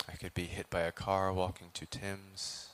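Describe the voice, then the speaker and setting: A man with a deep voice leads a guided meditation. His intonation stays very even, with little rise or fall. He speaks as a teacher, in measured phrases separated by long pauses. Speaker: meditation teacher, Buddhist monk.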